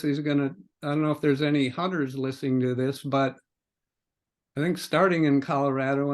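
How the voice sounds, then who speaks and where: A man with an American accent hosting an interview podcast in a halting, informal, conversational way. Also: mid-range voice. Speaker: podcast host.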